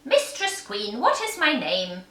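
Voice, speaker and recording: A woman's voice: high voice, wildly swooping pitch, slow, pushed and bright, heavily acted. A woman voicing a demanding, gleeful little mannikin man in a fairy tale, taunting and emphatic, in an echoey room. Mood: gloating. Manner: taunting, emphatic, singsong questions and refusals. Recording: echoey room, distant microphone.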